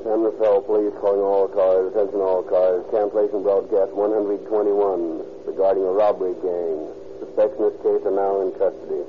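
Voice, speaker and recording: Man as a deep police dispatcher, tinny radio. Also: level tone, moderate pace, close to an everyday voice. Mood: impersonal, official. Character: official male police radio dispatcher, impersonal. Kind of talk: formal police bulletin. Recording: tinny, noisy old police radio sound.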